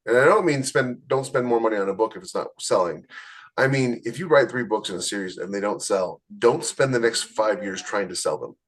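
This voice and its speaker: A man giving casual, blunt advice as a podcast host, plain-spoken, with a slightly husky voice. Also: on the low side, expressive, brisk. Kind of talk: casual advice chat about book publishing.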